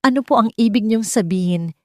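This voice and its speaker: A woman's voice: a little high, fairly quick, dry recording, studio quality, voice pushed up, close to an everyday voice. A woman voicing a wary, respectful female journalist in an audiobook, asking politely and hesitantly. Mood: hesitant, puzzled. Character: wary, respectful young woman journalist, uneasy but brave.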